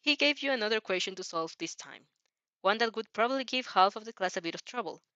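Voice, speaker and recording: A woman's voice: medium pitch, expressive, quick pace, sharp tone, dry recording, muffled recording. A woman informally narrating fan fiction, giggly, often stumbling and rereading phrases as she goes. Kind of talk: informal story narration with reactions.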